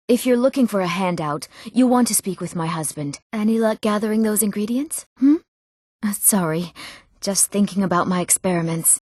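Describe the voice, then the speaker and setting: Actress doing young townswoman for a fantasy game, earnest n emotional, expressive, clear diction, dry close sound. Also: on the low side, no echo, lightly acted. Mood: disdainful. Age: young adult. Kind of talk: scripted fantasy game dialogue.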